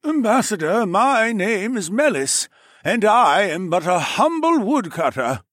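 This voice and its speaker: A man voicing a humble older male lizard-man woodcutter who speaks slowly and earnestly. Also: high voice, big pitch swings, a bit bright, no room sound, clean studio sound, pitched above the performer's own voice, heavily acted.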